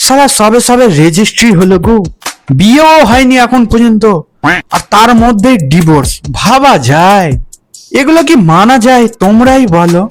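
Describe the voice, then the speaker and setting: Very high-pitched man roasting, crude and slangy. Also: animated, normal speed. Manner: crude, slangy, sarcastic teasing. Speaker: roast video host. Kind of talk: mocking meme-review roast commentary. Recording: music bed under voice.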